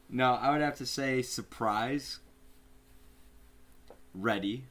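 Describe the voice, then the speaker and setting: A young man co-hosting a podcast in casual chat, relaxed, laughing often, with lots of "like" fillers. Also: medium pitch, drawn-out words. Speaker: podcast co-host.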